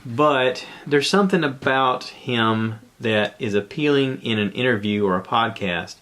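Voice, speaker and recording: A man hosting a solo podcast in a casual, conversational way, haltingly thinking aloud as he goes. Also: mid-range voice, a bit bright. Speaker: podcast host. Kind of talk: casual solo podcast talk, rambling recommendations.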